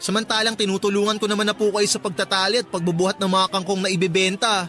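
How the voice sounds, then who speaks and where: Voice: mid-range voice, little pitch movement, fast, sharp tone, faint music behind, close to an everyday voice. An actor playing a resentful, whining young son who talks back to his mother in a Tagalog radio drama. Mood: whining, defiant. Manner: complaining, talks back, sulky.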